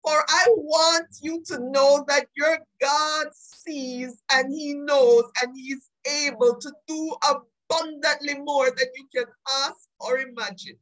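A woman preaches with great feeling in a high, forceful voice, drawing her words out slowly. She sounds tearful and pleading, and repeats phrases for emphasis as she delivers her live sermon. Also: steady tone, rough recording. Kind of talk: impassioned live online sermon.

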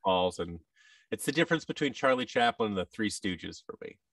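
Low-pitched man, slightly distant. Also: expressive, fairly quick, fairly bright tone, no echo. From United States. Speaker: interview guest, actor and voice-over artist. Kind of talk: casual interview talk. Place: large indoor room with tables, on video call. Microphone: boom-arm microphone, headset.

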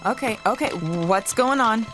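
A young American TV journalist in an adventure video game. She is plucky and assertive, with a fairly high voice that swings in pitch, set against loud music. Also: expressive, unhurried. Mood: alarmed. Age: young adult.

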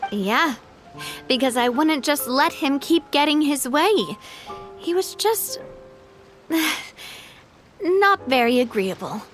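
An elegant young lady boss from an anime game, high-pitched and lively in intonation. The manner is confident, breezy and polished. There is music behind the speech. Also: slow pace, long pauses, heavily acted. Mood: resigned. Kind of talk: anime video game voice acting.

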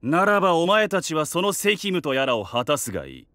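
Arrogant young king, dismissive, fairly high for a man, widely varied pitch, fantasy audio drama. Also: big pitch swings, fairly quick, pushed and bright, no echo. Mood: cold disdain. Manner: haughty, dismissive.

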